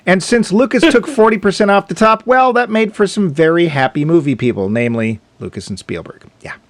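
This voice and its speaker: A man's voice: high voice, lively intonation, brisk, dry recording. A man co-hosting a movie podcast in casual, jokey chat, laughing often. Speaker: podcast co-host.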